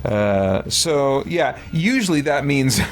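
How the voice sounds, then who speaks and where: A man's voice: medium pitch, very animated, takes it slowly, faint music behind. A man giving casual, rambling gameplay commentary as a streamer, thinking aloud and explaining himself to viewers. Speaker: video game streamer.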